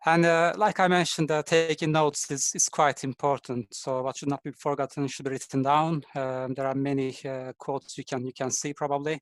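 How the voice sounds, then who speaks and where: A man from Turkey with a Turkish accent, a design engineer giving a halting, friendly online presentation full of fillers over a video call. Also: medium pitch, level tone, no echo.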